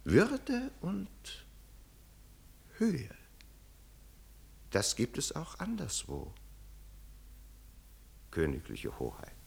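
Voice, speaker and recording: An actor playing a witty, ironic doctor who mentors a prince, urbane and teasing, in a German radio play. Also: male voice, medium pitch, huge pitch swings, extremely slow, gravelly, pauses often, husky, heavily acted. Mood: musing, coaxing. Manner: urbane, teasing, theatrical with pointed hesitations.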